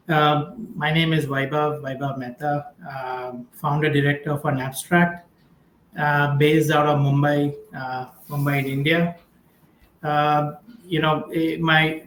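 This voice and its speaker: A man introducing himself as a founder on a video call, polite and measured, with frequent fillers. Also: on the high side. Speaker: guest, company founder. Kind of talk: conversational self-introduction. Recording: echoey room, faint background noise.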